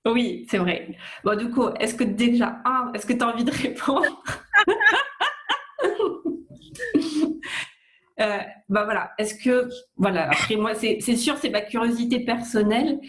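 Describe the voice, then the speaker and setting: A woman's voice: a little high, lively intonation, slow, pauses often, a bit bright. A woman hosting an interview, speaking hesitantly with many fillers and restarts. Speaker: interviewer, host. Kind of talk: casual interview.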